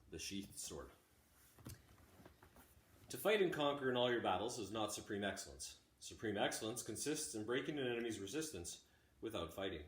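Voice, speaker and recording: Low-voiced man reading aloud, echoey room. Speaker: teacher reading to students. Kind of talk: audiobook-style read-aloud of a classic text. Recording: roomy, echoey home recording.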